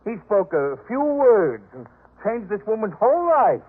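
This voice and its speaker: An actor playing a proud, disdainful master of a biblical household in a 1950s radio drama, with haughty, emphatic delivery. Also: male voice, high voice, sing-song, measured pace, exaggerated voice. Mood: scornful. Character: proud, indignant, disdainful male head of household in biblical times. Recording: muffled narrowband 1950s radio recording.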